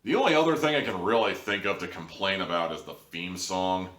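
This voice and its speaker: A man with a low voice hosts a casual review, speaking in a wry, conversational and emphatic manner. He sounds a little away from the microphone, in a room with noticeable echo. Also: a little fast, a bit bright. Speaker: audio drama reviewer, host. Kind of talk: casual, opinionated review commentary. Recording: roomy, distant microphone.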